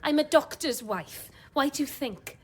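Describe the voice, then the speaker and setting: An actress playing a defiant, principled doctor's wife in a radio drama, with a combative, sardonic way of talking. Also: female voice, sits high, animated, a little slow. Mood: indignant. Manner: combative, sharp-tongued, sardonic. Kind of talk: naturalistic radio drama dialogue.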